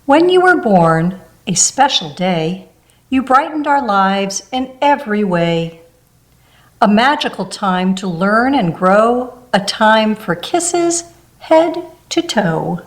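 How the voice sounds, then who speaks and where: A woman reading a rhyming children's picture book aloud in a gentle storybook manner. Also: mid-range voice, very animated, slow pace.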